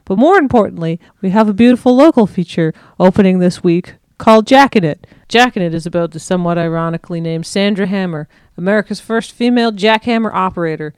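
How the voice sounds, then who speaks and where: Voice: medium pitch, fast talker, hardly any pauses, a little mellow, clear, resonant tone, no room sound, close to an everyday voice. A performer playing a chipper, dry-witted small-town arts correspondent on a comedy radio show. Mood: upbeat, dry. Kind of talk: comedy radio review segment.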